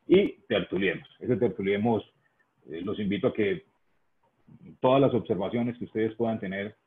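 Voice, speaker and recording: A young man giving a technical webinar presentation, speaking deliberately, with frequent "eh" and "¿sí?" checks. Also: fairly low pitch. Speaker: engineering presenter at a trade fair webinar. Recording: tinny phone-like line.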